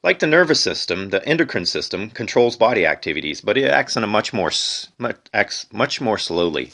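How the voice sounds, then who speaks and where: A man reading educational textbook material aloud in an unedited amateur way, phrasing it deliberately. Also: lowish voice, very animated, bright, forceful tone. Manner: deliberate phrasing, reads headings aloud, stumbles and corrects himself.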